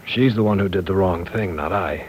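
An actor playing an earnest, apologetic university professor in an old-time radio drama, formal and courteous yet insistent. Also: male voice, deep voice, moderate pace, breathy edge.

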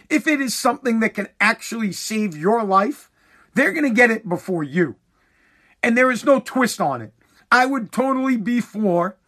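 A man's voice: sits high, fairly bright tone. A man hosting his own podcast, ranting bluntly and heatedly with swearing while he is losing his voice. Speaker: podcast host.

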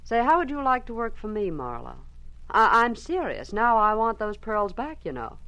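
A woman's voice: a little high, very animated, moderate pace, pushed and bright, dry recording, highs cut off, full character voice. An actress playing a sly, calculating female client in a 1940s radio detective drama, brassy and self-possessed. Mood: eager, surprised.

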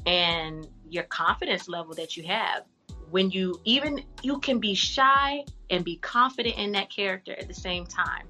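Woman guest, bright tense tone, candid and slangy, relaxed interview chat. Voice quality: deep voice for a woman. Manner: frank, animated advice-giving with casual slang. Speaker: actress and artist guest. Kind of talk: casual podcast interview.

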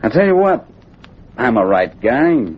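An actor playing a bullying, smug gambling boss in an old radio crime drama, curt and threatening. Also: male voice, medium pitch, very animated, measured pace, breathy edge. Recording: muffled, noisy old radio recording.

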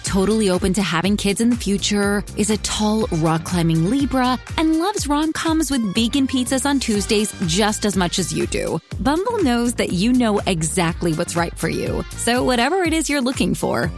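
Faint music sits behind a female advertising voice. She reads scripted copy conversationally, with a medium pitch and very lively intonation. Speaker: advertisement voice-over. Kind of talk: scripted conversational commercial read.

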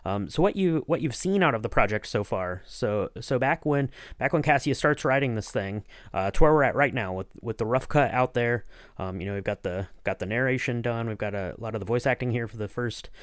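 A man's voice: medium pitch, expressive, fairly quick, no echo, clean studio sound. A man hosting a casual podcast interview, talking in long, rambling, circling sentences.

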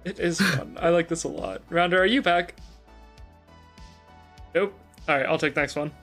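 A man's voice: a little high, moderate pace, a bit bright, soft background music. A man hosting a stream in casual chat. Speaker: stream host.

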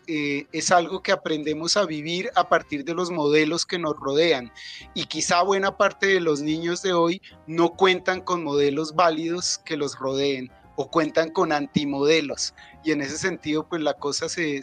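A Colombian man giving his opinions at a book presentation, explaining emphatically with hesitant pauses. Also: a little high, steady tone, fairly bright tone, faint music behind. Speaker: writer and psychologist, guest speaker.

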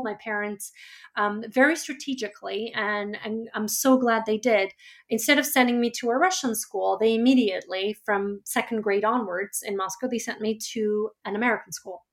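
A woman from Georgia, a first-language Georgian speaker, tells her life story as an author being interviewed, reflective and often pausing on fillers and restarts. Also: medium pitch. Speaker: author and guest.

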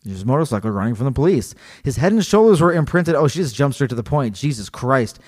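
A man co-hosting a podcast, chatting casually and reading a story aloud, animated and joking. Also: mid-range voice, fast talker. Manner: animated, joking, laughs often. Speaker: podcast co-host.

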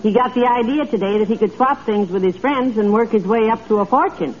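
A woman's voice: on the high side, fairly quick, lightly acted. Vintage radio comedy housewife played by a woman: fond, wry, folksy wisecracks, slightly noisy muffled sound. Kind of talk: old-time radio comedy acting. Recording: dull vintage radio with faint noise.

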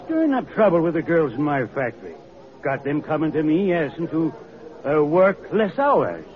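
A man's voice: a little high, big pitch swings, normal speed. An actor playing a hard-hearted, complaining male factory owner, alarmist in manner, in an old radio drama. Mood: indignant. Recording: tinny, narrow old radio recording.

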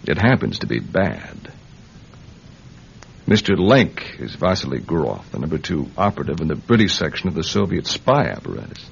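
Deep, gravelly, wry radio agent. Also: male voice, very animated, moderate pace, heavily acted. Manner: probing, dry, wry. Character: brisk, wry male intelligence agent. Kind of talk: old-time radio drama acting. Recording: muffled, noisy old radio recording.

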